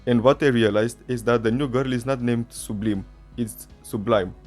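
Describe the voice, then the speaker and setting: Mellow-voiced man, Romanian native speaker. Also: medium pitch, steady tone, moderate pace, faint music behind. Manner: chatty, self-correcting fan commentary. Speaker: channel host. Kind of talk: casual commentary video.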